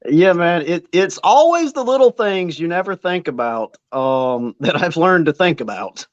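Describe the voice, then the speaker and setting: A man's voice: high voice, big pitch swings, a little slow, no echo, muffled recording. A man who works as a wrestling ring announcer, chatting casually as an interview guest and rambling with plenty of fillers. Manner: rambling, self-deprecating, many fillers.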